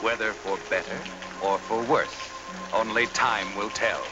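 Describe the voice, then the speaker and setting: An actor playing a mysterious, all-knowing narrator of dark tales in an ominous, theatrical 1940s film noir style. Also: male voice, fairly low pitch, steady tone, unhurried, highs cut off, lightly acted. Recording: old 1940s film soundtrack, loud music.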